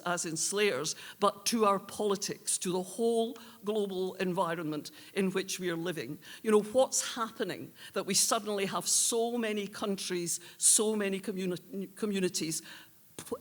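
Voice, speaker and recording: An older woman giving an earnest, halting but emphatic speech as a presenter at an awards ceremony. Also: mid-range voice. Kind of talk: prepared awards speech, reflective political commentary. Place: large hall at an awards ceremony. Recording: echoey hall with audience noise.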